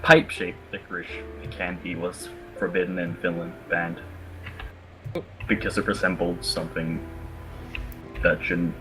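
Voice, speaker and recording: A man chatting casually over voice chat, halting and full of fillers. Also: sits low, little pitch movement, music in the background, poor audio. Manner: hesitant, many fillers. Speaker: voice chat participant.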